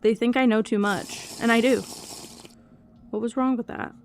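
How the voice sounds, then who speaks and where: Hoarse woman streamer, chatty and sweary. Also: fairly high pitch, steady tone, moderate pace, faint music behind. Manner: swearing, talkative, complaining. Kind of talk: casual livestream chat while drawing.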